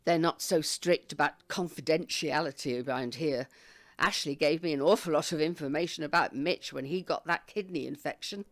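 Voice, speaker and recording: An actress playing a shrewd, brisk old care home resident in an audio drama, matter-of-fact and wry. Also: female voice, deep voice. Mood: concerned. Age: older. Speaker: actor.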